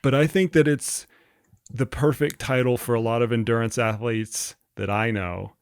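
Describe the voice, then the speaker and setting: A man hosting an interview podcast, relaxed and rambling, with many likes and restarts. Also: mid-range voice, moderate pace, clean tone. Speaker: podcast host and coach. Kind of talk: casual interview chat.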